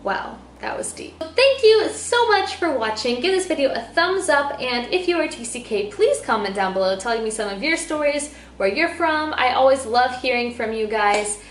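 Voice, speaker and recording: A young woman born in El Salvador and raised in Turkey, chatting casually to camera in a bubbly, talkative way, swinging between loud and soft. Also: sits high, lively intonation, normal speed, few pauses. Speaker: vlogger. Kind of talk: casual vlog chat to camera. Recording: echoey room with faint noise.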